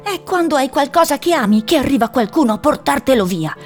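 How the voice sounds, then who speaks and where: A woman voicing a small, frightened male pet hedgehog who talks high and shrill and rises to shouting in a storybook. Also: lively intonation, fairly quick, soft background music. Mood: agitated.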